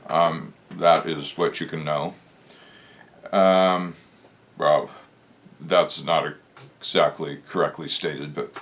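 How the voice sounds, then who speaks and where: A man with a deep, low voice, a bit hoarse today, thinks aloud at his own pace. He hosts his own talk, reflective and casual, and stops often with "uh" while he gathers his thoughts. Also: fairly bright tone. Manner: musing, unhurried, with frequent "uh" pauses. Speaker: channel host discussing Masonic lore.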